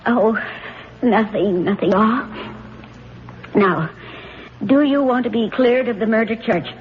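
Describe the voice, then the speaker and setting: A woman's voice: a little high, measured pace, close to an everyday voice. An actress playing an insistent, secretive woman in a 1970s radio drama, hesitant yet commanding. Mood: urgent, secretive. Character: insistent, secretive woman coaching an accused man. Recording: dull, noisy 1970s radio recording.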